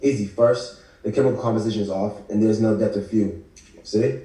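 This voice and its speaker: Hopeful, guarded male ex-con acted by a man, lowish dark voice, naturalistic table read, roomy remote audio. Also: fairly even intonation, a little fast, lightly acted. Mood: matter-of-fact. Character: despondent but hopeful male ex-con, aspiring architect. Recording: echoey room, distant video call mic.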